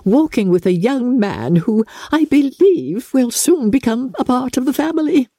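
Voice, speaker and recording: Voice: high voice, expressive, measured pace, dark tone, no room sound, studio quality, pitched above the performer's own voice, exaggerated voice. A reader voicing a fussy, eager middle-aged mother who gushes to please a grand guest in an audiobook. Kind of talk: audiobook character reading.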